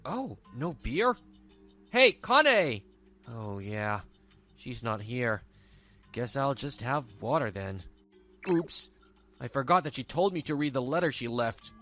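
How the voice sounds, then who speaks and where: A careless, cocky young husband played by a man with swinging, animated intonation. He comes across as lazy in this manga dub, with music behind him. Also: mid-range voice, slow pace, pauses often. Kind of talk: scripted manga dub dialogue.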